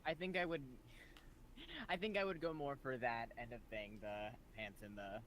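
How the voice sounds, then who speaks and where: Casual tabletop player, mid pitch, faint noise. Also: moderate pace, fairly bright tone. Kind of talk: casual chat.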